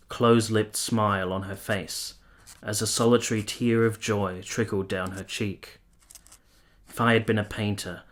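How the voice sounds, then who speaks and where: Actor as romantic 19th-century gentleman, male, fairly deep voice, literary and tender, for a period radio play. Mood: wistful, devoted. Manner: literary, lingering, formal storytelling. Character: romantic, wistful 19th-century Italian gentleman lover recalling his affair. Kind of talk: radio play acting and first-person narration.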